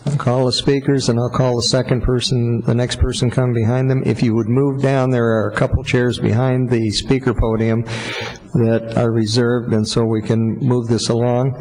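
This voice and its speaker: A man chairing a council meeting, formal and procedural, a little halting as he calls speakers. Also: mid-range voice, a little mellow. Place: council chamber. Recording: long hall reverb.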